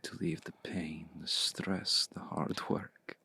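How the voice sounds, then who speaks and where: Man acting as seductive possessive vampire, deep, husky, creaky, slow deliberate delivery, hushed intimate ASMR. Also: flat delivery, full character voice. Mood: seductive, coaxing. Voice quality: low, gravelly, breathy. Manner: slow, deliberate, hushed, often breathy near-whisper. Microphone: close mic, dry.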